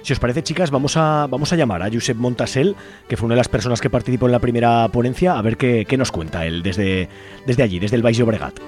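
A man's voice: medium pitch, quick pace, darkish tone, soft background music. A man with a Spanish accent from Spain hosting a conversational radio interview, informal, often tagging his phrases with little questions. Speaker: radio host interviewing guests.

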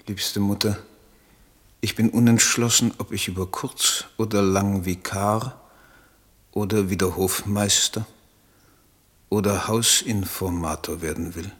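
An actor playing an idealistic, troubled German poet, speaking in a solemn, declamatory radio drama manner. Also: male voice, fairly low pitch, steady tone, measured pace, pauses often, slightly rough. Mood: pleading, hesitant. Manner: solemn, declamatory, elevated diction. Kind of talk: literary radio drama monologue.

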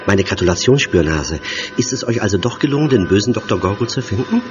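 An actor voicing a cruel, taunting mad surgeon in a horror radio play, with a theatrical, sneering delivery. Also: male voice, fairly low pitch, lively intonation, fast, close to an everyday voice. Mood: sneering defiance. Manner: theatrical, sneering, swinging into hysteria. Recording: dull muffled audio over loud music.

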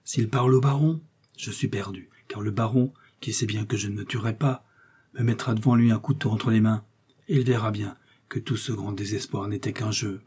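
A man's voice: fairly low pitch, steady tone, normal speed, a little mellow, husky. A man voicing a young, scheming woman prisoner who feigns pious, desperate anguish in a theatrical, pleading manner for a storybook. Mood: quiet calculation.

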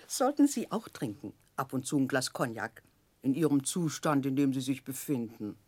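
Meddling sly landlady, middle aged, breathy and husky, swoops up and down, theatrical old radio play style. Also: a bass voice, very animated, normal speed, a little mellow, no echo, full character voice. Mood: confiding, meddling. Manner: confiding, theatrical, motherly advice-giving. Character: Mrs Crupp, nosy, sly, brandy-loving middle-aged landlady. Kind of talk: 1960s German radio drama acting.

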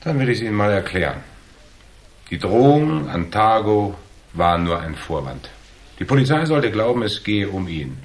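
A hardboiled investigator with a low, deep voice in a German radio crime drama. He is cool and shrewd, setting out each point with dry, measured, needling precision. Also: very animated. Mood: needling, explanatory. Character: cool, shrewd hardboiled male investigator, calmly laying out deductions.

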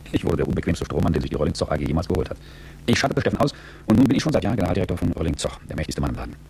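A low, quick-spoken man in a radio drama, a calculating and world-weary executive looking back on his life. His telling is articulate and reflective, with a gravelly edge. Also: darkish tone, slight background noise, full character voice. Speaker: narrator and lead character. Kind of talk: radio drama first-person narration.

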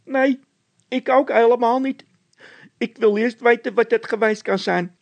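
A man voicing a squeaky, fretful sidekick in a children's audiobook, stubborn and anxious. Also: fairly even intonation, unhurried, vocal fry, heavily acted.

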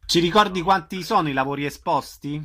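Bright-voiced male radio host. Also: a little high. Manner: brisk, direct questions. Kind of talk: radio interview.

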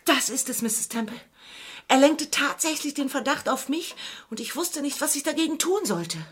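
A woman's voice: high-pitched, expressive, normal speed. An actress playing a distressed woman doctor who has been blackmailed, in a radio crime drama, speaking earnestly with dramatic emphasis. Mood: anguished, confessional.

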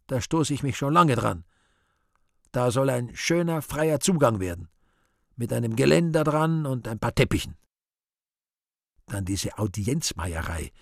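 An actor playing a brooding, vain, scheming devil who mutters to himself and breaks into exclamations in a theatrical radio play monologue, with a raspy, gravelly voice. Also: male voice, medium pitch, no echo, clean studio sound.

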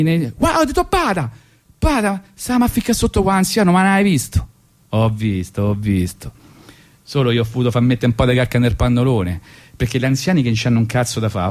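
A man with a Roman accent tells comic stories on a radio show. He rambles ironically from one aside to the next. His voice sits at an ordinary middle pitch. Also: darkish tone. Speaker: radio host. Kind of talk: comic storytelling monologue. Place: radio studio.

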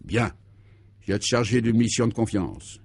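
A man acts out a bossy male conspirator in an absurd radio play. The voice is fairly low, and the manner is pompous, exacting and commanding, as if every order were grave. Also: moderate pace, gravelly, slightly rough, no room sound. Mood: commanding, secretive.

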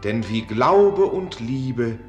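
Enraptured student, slow and echoing. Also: male voice, mid-range voice, expressive, highs cut off. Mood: rapturous, reverent. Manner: ecstatic, solemn, declamatory. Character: enraptured young male student, dreamy, devout lover. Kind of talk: German radio play acting. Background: music.

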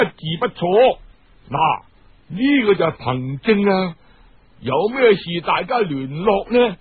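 A man doing a hushed, shady Cantonese go-between. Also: high voice, husky, dry recording, exaggerated voice. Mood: insistent. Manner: hushed, secretive, insistent. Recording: muffled, band-limited radio-style recording.

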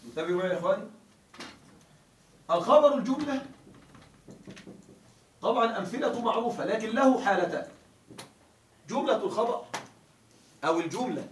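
A man teaching a grammar class, explaining step by step and quizzing his students with questions. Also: high voice. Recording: echoey classroom from a distance, faint hiss.

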